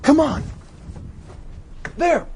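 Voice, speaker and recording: A man's voice: extremely slow, darkish tone, slight background noise. An actor playing a hot-headed, impulsive young man in a radio drama. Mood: agitated. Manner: agitated, impulsive.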